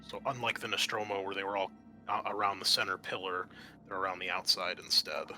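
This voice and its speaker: A man narrating an improvised tabletop game as game master, halting often mid-sentence. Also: low-pitched, little pitch movement, pushed and bright, faint music behind.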